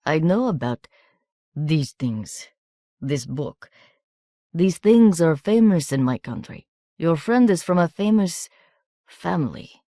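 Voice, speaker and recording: A woman's voice: sits low, expressive, warm tone, dry recording, clean studio sound, heavily acted. A woman doing a sly thin boy. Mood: knowing. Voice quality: sibilant, harsh accent. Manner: halting, oddly formal phrasing. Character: thin foreign teenage boy, sly and knowing. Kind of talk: audiobook character voice.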